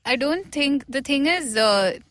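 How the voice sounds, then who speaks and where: A woman's voice: sits high, lively intonation, unhurried, fairly bright tone. A woman with an Indian accent chatting casually as a guest on a podcast. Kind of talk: casual chat.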